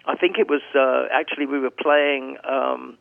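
A man's voice: medium pitch, flat delivery, moderate pace, vocal fry, no echo. A man in his seventies, a singer chatting as an interview guest, rambling through anecdotes with restarts. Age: 70s. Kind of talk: casual interview chat. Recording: phone-line audio.

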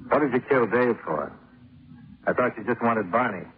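An actor playing a cool, wry American insurance investigator in a 1950s radio drama, speaking with dry, clipped deadpan. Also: male voice, medium pitch, moderate pace, background noise, natural voice. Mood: challenging, cool. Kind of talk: 1950s radio drama acting with first-person narration. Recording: muffled 1950s radio recording.

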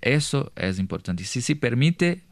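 A young man from Brazil speaking Spanish with a Brazilian Portuguese accent, a guest on a radio show who pauses often to search for words. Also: medium pitch, big pitch swings, gravelly, no room sound. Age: young adult. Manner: hesitant, searching for words in a second language. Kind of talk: radio interview.

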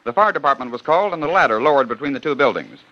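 A man narrating a scripted radio program with brisk, wry storytelling. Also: mid-range voice, animated. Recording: dull 1940 radio recording.

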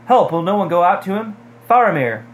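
A man voicing a frightened, excitable young hobbit from a storybook, with urgent pleading and exclamations. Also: on the high side, moderate pace, pitched above the performer's own voice, close to an everyday voice. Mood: urgent, distressed.

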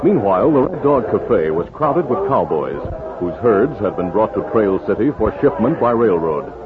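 Animated American radio announcer, male, vintage sound. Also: lowish voice, normal speed. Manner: dramatic, declamatory. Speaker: announcer and narrator. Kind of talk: 1950s radio announcing and scripted narration. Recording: dull vintage radio recording with music.

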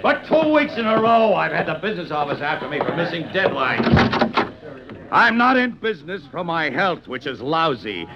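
An actor playing a gruff, sarcastic, domineering magazine boss in a blustering 1950s radio drama style. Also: male voice, fairly high pitch, sing-song, normal speed, very noisy. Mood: irritated. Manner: blustering, sardonic. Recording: muffled 1950s radio broadcast.

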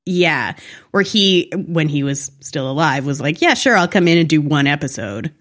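A woman with a low voice talks as a podcast co-host. She is animated and gossipy, recapping television casually with a wry edge. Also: moderate pace.

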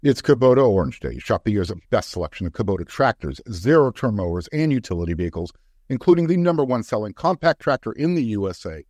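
A man's voice: fairly low pitch, sing-song, fast, a little mellow, dry recording, studio quality. A man delivering a scripted advertisement as a commercial voice-over announcer.

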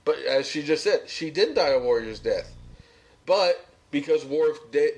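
A man's voice: on the high side, animated, measured pace, darkish tone, rough recording. A man chatting casually as a podcast co-host, recapping a television episode.

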